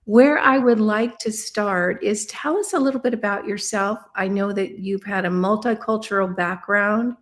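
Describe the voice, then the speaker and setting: A woman's voice: mid-range voice, normal speed, few pauses. Woman host of a live interview show, friendly and conversational. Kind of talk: livestream interview.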